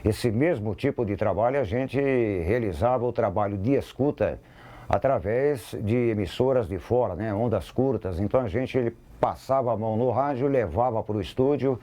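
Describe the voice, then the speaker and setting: A man's voice: mid-range voice, moderate pace, mellow. A Brazilian man, a radio sports reporter, casually recalling his work in an interview.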